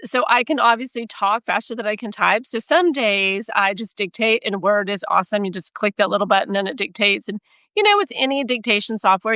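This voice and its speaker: A woman with a Texas accent chats in a lively, self-deprecating way, poking fun at herself as she talks. Her voice sits mid-range and sounds close and dry, with no room around it. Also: mid-range voice, no room sound. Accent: Texan. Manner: chatty, self-mocking, animated storytelling. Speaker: podcast co-host. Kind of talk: casual chat.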